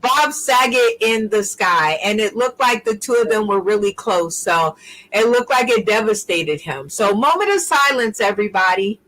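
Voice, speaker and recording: A woman hosting a casual talk show, playful and laughing often, with drawn-out phrasing. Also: medium pitch, lively intonation, a bit bright, low-quality recording. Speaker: host. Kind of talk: casual chat.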